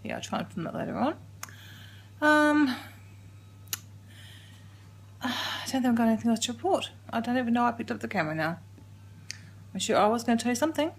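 An Australian woman in her forties chatting through a daily home vlog, rambling with soft ums and sounding a little congested. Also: on the high side, animated, a little fast, long pauses, husky. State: congested, getting a cold. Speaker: vlogger. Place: living room couch at home. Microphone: handheld camera held close.

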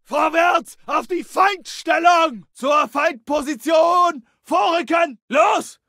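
Ww2 german soldier in a shooter, gravelly and sharp, forceful battle barks, aggressive. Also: male voice, very high voice, takes it slowly, no room sound, studio quality, exaggerated voice. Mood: fierce commanding. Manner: forceful, urgent, barking. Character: aggressive German World War II infantry soldier. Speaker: video game voice actor. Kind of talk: shouted combat barks for a war game.

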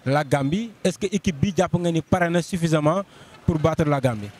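A man with a Senegalese accent, a street reporter asking passersby quick questions on a handheld mic by a busy road. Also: a little high, a little mellow, a little hiss or hum. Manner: brisk questions with frequent hums of assent. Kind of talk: street vox pop interview. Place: busy roadside outdoors.

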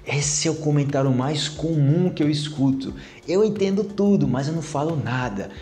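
A Brazilian man in his thirties teaching a casual video lesson to camera on a lapel mic, animated and chatty, tagging his sentences with little questions. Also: on the high side, normal speed, echoey room, soft background music. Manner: animated, gesturing a lot with his hands; chatty, with many tag questions. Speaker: Portuguese teacher. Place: plain-walled room indoors.

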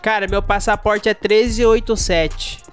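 A man's voice: sits high, steady tone, unhurried, a bit bright. A young Brazilian man chatting casually and slangily as he plays an online roleplay game into a headset mic. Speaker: streamer playing online roleplay. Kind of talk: casual slangy gameplay banter. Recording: game music mixed behind voice.

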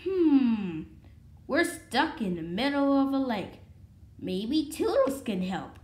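Female read-along presenter for a picture book, lively intonation, occasional stumbles, echoey room. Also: sits high, takes it slowly. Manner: stumbles over words and restarts. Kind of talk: reading a children's picture book aloud. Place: indoor room at home with wooden floor.

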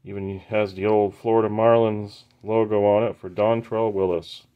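A man hosting a trading card break, casually naming each card as he opens the packs in an unhurried, matter-of-fact way. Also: fairly low pitch, level tone, clear, resonant tone, muffled recording. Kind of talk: casual pack-opening commentary.

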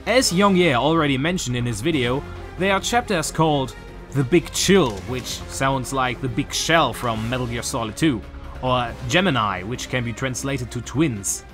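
German-accented young man, lively voice. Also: medium pitch, music in the background. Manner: earnest, a few filler pauses. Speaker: YouTuber. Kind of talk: game theory video commentary. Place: small bedroom with shelves, indoors.

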